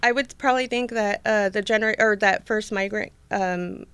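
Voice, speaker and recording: A woman guest in a conversational interview, talking thoughtfully with many halting restarts. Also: mid-range voice, heavy background noise.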